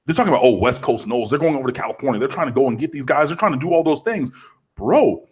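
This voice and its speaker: A man chatting casually as a college football podcast co-host, rambling and animated with lots of fillers. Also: medium pitch, fast, slightly rough. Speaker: co-host, Miami fan. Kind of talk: casual sports podcast chat.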